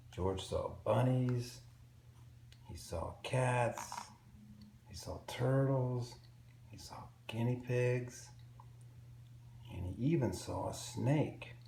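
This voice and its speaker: A man reading a picture book aloud to young children in an expressive, simple storytelling way. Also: on the low side, gravelly. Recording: roomy echo with faint background noise.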